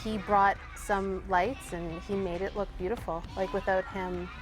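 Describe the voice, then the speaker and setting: A woman's voice: mid-range voice, fairly even intonation, unhurried, music in the background. A woman with a Canadian accent chatting informally as an interview guest, peppering her talk with "like". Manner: informal, says "like" often. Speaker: guest musician. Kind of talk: casual interview chat.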